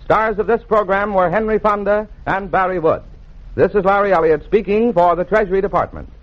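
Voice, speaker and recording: High-pitched 1940s male radio announcer. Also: a little fast. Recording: dull band-limited 1940s radio transcription. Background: music.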